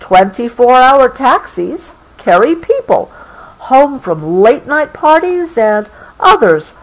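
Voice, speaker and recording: An American woman reading a picture book aloud to a child, expressively, pausing patiently to explain things in a casual way. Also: high-pitched, very animated, rough recording.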